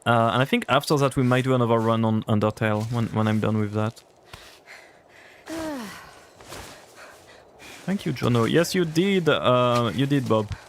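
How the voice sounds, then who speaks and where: A man's voice: medium pitch, normal speed, pauses often, a little hiss or hum. A young man with a French accent, chatting casually with his chat while playing a game on a livestream. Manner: chatty, rambling, answers chat. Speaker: streamer.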